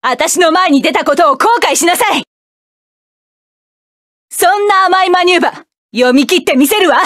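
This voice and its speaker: High, dry game voice: fierce proud young woman pilot, commanding battle shouts. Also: normal speed, no echo. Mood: defiant. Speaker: mecha pilot character. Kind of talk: video game battle voice lines.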